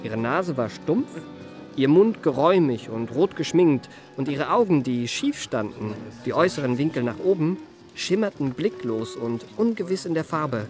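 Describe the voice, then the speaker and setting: A man's voice: fairly high pitch, animated, moderate pace, a little mellow, lightly acted. An actor playing an elegant young male confidence trickster who recounts his life in ornate, self-satisfied, mannered formal language for a literary radio play. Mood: confiding, suave. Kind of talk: literary first-person radio play narration. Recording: music behind the voice.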